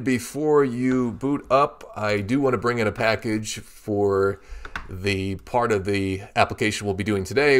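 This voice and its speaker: A man teaching a coding tutorial, explaining each step in a steady walkthrough while he works at his computer. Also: fairly low pitch. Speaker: programming tutorial instructor. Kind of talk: screen-recorded coding walkthrough.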